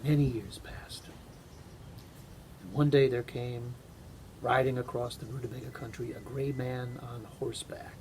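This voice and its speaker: A man reading aloud as a storyteller, with measured, gentle phrasing and pauses. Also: fairly even intonation. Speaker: actor reading a bedtime story. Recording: home recording with noticeable rumbling noise.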